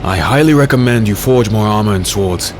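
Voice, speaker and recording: An actor playing a grim, haunted, secretive warrior in a dark fantasy audio drama, speaking tersely and dramatically. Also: male voice, fairly low pitch, brisk, background noise, natural voice. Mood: guarded, weary. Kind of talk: dark fantasy audio drama, first-person narration and dialogue.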